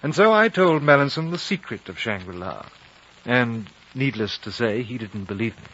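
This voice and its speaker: World-weary adventurer and veteran for vintage radio drama: measured, wistful, literate, bright-edged tone, dulled broadcast sound. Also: male voice, medium pitch, animated. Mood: somber. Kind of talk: 1950s radio drama narration and dialogue. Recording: dull, band-limited 1950 radio broadcast recording.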